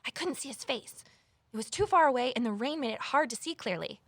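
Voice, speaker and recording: An actress playing an eager, easily flustered rookie conservation officer and amateur sleuth in an audio drama, earnest and inquisitive. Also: female voice, fairly high pitch, expressive, a little fast, fairly bright tone, no room sound. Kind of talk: naturalistic audio drama acting with first-person narration.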